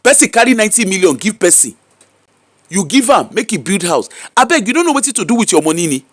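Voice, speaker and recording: High-voiced, animated Nigerian man. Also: fast, dry recording. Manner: animated, mocking, rhetorical questions. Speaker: social media commentator. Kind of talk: casual commentary in pidgin. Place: UK-based.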